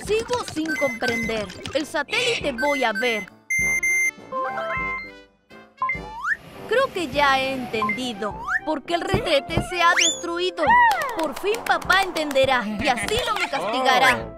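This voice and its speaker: Excitable, squeaky-high little lion cub. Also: female voice, a little slow. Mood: earnest. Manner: exclamatory, singsong. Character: excitable young lion cub narrating adventures in rhyme. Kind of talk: children's cartoon dubbing, singsong rhymed lines. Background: music.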